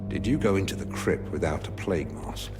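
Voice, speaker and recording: A calm royal healer from a video game. His deep male voice is sober and measured. Music plays clearly behind him. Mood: concerned. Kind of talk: video game cutscene acting.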